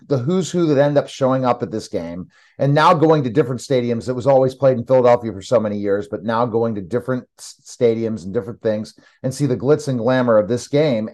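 A male interview host with a medium-pitched voice. He talks in a conversational sports radio style, stacking one loose question on the next in a rambling way. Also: a little mellow.

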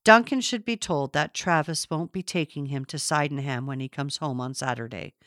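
A woman narrator voicing an earnest, conscientious, gently firm woman with deliberate delivery in an audiobook. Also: deep voice, no room sound, clean studio sound, voice pushed down. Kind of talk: audiobook character reading.

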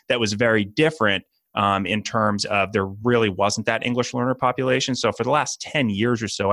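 Low-voiced man hosting a podcast. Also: pushed and bright, no room sound. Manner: friendly, hesitant, repeats words when starting sentences. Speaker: podcast host, former Spanish teacher. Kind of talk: conversational podcast interview.

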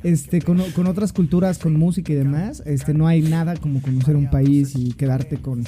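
A man's voice: on the high side, steady tone, moderate pace, warm tone. A young man with a Mexico City accent hosting a podcast, joking around in loose, slangy casual chat, a bit tipsy. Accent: Mexico City Spanish. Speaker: podcast host.

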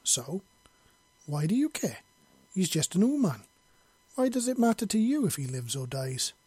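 A mysterious, bodiless female spirit from an audio story, voiced by a man. The voice is raised and husky, questioning with taunting insistence, recorded dry and close. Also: high voice, big pitch swings, normal speed, darkish tone, dry recording, pitched above the performer's own voice, full character voice. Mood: probing, insistent.

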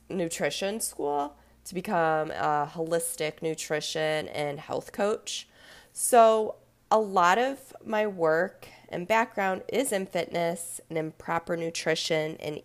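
Congested woman host, thirties, slow and candid, slightly low voice. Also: smooth. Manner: candid, reflective, with frequent ums. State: congested, nasal from a sinus infection. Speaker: podcast host, yoga and fitness instructor. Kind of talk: casual solo talk.

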